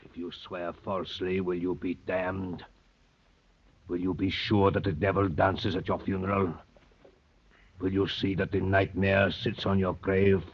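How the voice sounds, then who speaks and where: An actor playing a grim, aged anarchist professor, grave and deliberate, with a husky voice, in 1930s radio drama style. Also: male voice, deep voice. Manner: grave, deliberate, portentous questioning. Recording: dull, muffled old 1930s radio recording.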